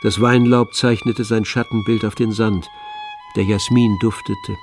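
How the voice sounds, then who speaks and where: A man narrating a tragic novel for a radio play in a measured, literary manner. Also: on the low side, level tone. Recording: dry studio with faint music.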